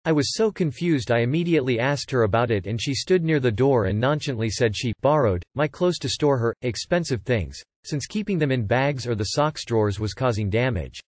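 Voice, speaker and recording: A man reading online posts aloud in an even, unhurried way, with little emphasis. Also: medium pitch, dry recording. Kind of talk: flat scripted reading.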